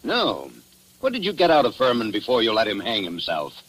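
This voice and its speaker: An actor playing a gruff, suspicious and confrontational small-town official in old radio drama style. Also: male voice, lowish voice, wildly swooping pitch, brisk, fairly bright tone, full character voice. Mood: irritated, suspicious. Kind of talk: 1940s radio drama acting. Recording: noisy old radio broadcast recording.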